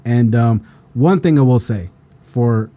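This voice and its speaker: A man's voice: medium pitch, slow, dark tone. A man from San Jose, a podcast guest telling stories bluntly and casually. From San Jose, California. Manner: storytelling, blunt, casual swearing. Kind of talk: casual chat.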